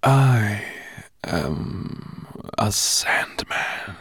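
A man voicing a sly, fearless sand spirit from a storybook in a gritty, breathy whisper, slow and taunting. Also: lowish voice, animated, fairly bright tone, dry recording, clean studio sound, full character voice. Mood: eerie, bargaining.

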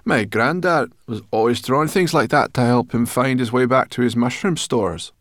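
A man voicing a brash, excitable, bossy female furry creature in a storybook, loud and exclaiming. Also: on the high side, moderate pace, creaky, no echo, clean studio sound, pitched above the performer's own voice, exaggerated voice. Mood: self-important.

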